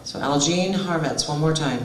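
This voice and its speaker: A man hosting a live event, introducing guests in a measured, announcing way. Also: fairly high pitch. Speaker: event host. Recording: echoey hall with loud crowd and noise. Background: audience chatter.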